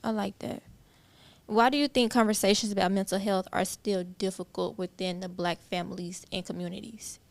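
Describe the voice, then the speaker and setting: A woman's voice: medium pitch, fairly even intonation, normal speed, vocal fry. A woman hosting a casual interview, asking questions and giving short responses. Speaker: interviewer, host.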